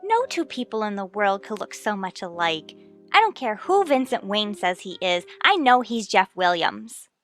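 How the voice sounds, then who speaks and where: A woman's voice: mid-range voice, very animated, moderate pace, a bit bright, soft background music. An American actress playing an impulsive, stubborn married woman sleuth in an old radio drama, exclaiming and stressing her words. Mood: flustered, stubborn. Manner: exclamatory, emphatic. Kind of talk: mid-century radio drama acting.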